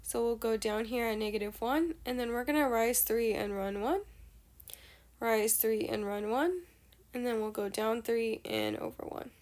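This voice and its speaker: Young female teacher, a bit stuffy and nasal, higher voice, patient walkthrough with fillers. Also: normal speed, a little mellow. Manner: patient step-by-step explaining, many fillers. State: nasal from allergies. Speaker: math teacher. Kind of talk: explaining a math lesson.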